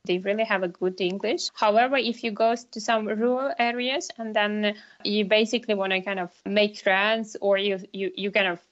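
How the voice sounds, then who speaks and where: A woman's voice: medium pitch, fairly even intonation, moderate pace, lo-fi sound. A woman from Ukraine with a Ukrainian accent, answering conversationally as an interview guest and often repeating words for emphasis.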